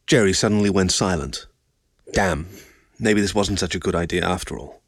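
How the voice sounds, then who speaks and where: A man's voice: deep voice, normal speed, breathy edge, dry recording, studio quality. A man reading a scripted story as an audiobook narrator.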